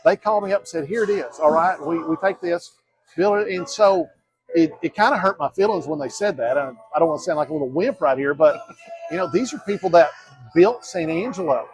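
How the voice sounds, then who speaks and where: A man's voice: on the high side, animated, a little fast, soft background music, rough recording. Earnest man in his fifties. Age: 50s. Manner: earnest, rambling with frequent restarts and quoted asides. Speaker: mayoral candidate. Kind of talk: casual interview chat.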